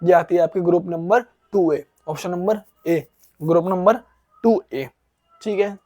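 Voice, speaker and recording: A man with a high voice, made hoarse by a sore throat, teaches exam-prep chemistry. He reads each question slowly and then quizzes his listeners, answering his own questions. The sound is dry and close, with no room echo. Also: warm tone. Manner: explains in Hindi, reads questions aloud in English, asks and answers his own questions.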